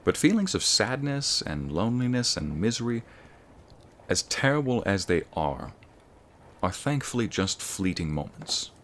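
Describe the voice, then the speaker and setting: A man with an American accent playing an encouraging, scholarly wizard school professor in an ASMR roleplay, lecturing students in a measured, formal way. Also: on the low side, big pitch swings, a bit bright, slight background noise. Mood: reflective, reassuring. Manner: measured, formal, teacherly, addressing students directly.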